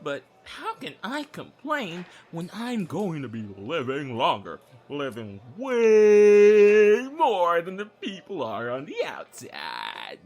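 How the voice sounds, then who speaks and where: A man's voice: fairly high pitch, wildly swooping pitch, takes it slowly, hardly any pauses, darkish tone, faint music behind, heavily acted. A man playing a cocky, crude young prisoner in an audio drama sketch, with a brash, theatrical, sarcastic manner. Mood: smug, theatrical.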